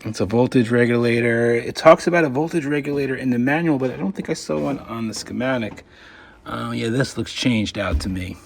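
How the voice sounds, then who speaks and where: A man's voice: mid-range voice, a little fast. Man thinking aloud, with noticeable background noise. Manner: thinks aloud while inspecting, trailing off. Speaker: electronics hobbyist hosting a repair video. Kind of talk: casual unscripted hands-on commentary. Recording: clear background noise, room ambience.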